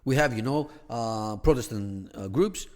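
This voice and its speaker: A man's voice: mid-range voice, a little slow, in a large hall. A man, raised in Greece, speaking as a podcast guest who explains religious history thoughtfully, with frequent restarts and "you know" fillers. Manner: thoughtful, many restarts and "you know" fillers, rising emphasis on key points. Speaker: podcast guest, theology academic. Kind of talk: conversational explanation.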